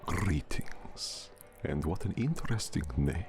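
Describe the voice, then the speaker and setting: Casting: male actor as ancient vampire count, extremely low and slow, suave, formal, intimate ASMR drama with music. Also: expressive, darkish tone, exaggerated voice. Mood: suave, amused. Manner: formal, measured, suave, often breathy. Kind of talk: intimate ASMR audio roleplay. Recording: music behind the voice.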